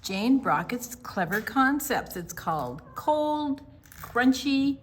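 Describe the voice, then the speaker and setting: An older woman co-hosting a children's storytime, explaining and reading aloud deliberately, with emphasis on single words. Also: high-pitched, very animated, slight background noise. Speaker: children's storytime co-host and reader.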